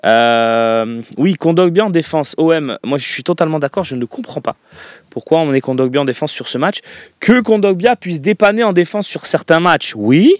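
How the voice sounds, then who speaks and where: A man's voice: fairly high pitch, animated, moderate pace, no echo, clean studio sound. A man hosting a football call-in show, giving emphatic, opinionated takes with frequent fillers. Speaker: radio call-in show host. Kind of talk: casual football phone-in talk.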